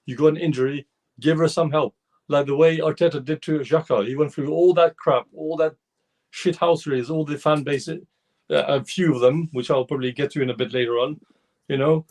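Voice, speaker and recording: A man on a football fan show talks with heat and rambles, starting his sentences over and over. His voice sits a little high and is slightly hoarse. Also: steady tone, fairly quick, darkish tone. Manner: heated, rambling with many restarts. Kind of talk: casual football fan discussion.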